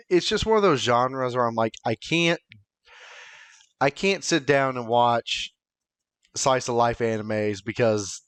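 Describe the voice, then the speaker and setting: Clear-voiced man chatting on a podcast. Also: medium pitch, fairly bright tone, no echo. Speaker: podcast co-host. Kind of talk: casual podcast chat among friends.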